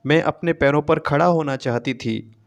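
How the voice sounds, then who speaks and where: A man's voice: fairly high pitch, normal speed, gravelly. A man reading a scripted, emotional first-person story as a narrator.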